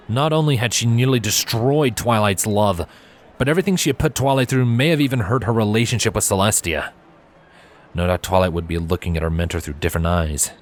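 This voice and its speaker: A man narrating a scripted story with expressive, dramatic delivery. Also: deep voice. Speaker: fan fiction narrator.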